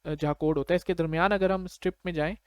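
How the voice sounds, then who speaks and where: Pakistani guy tutor, kinda high voice, explains step by step, chatty, dry close sound. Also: steady tone, quick pace, smooth, dry recording. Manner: conversational, checks understanding with short tag questions. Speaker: programming tutor.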